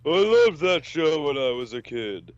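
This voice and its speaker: A man playing a loud, zealous office manager in an amateur story reading. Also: a little high, big pitch swings, measured pace, lo-fi sound. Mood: loud, blustering. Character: loud, zealous male office manager, superhero show fan.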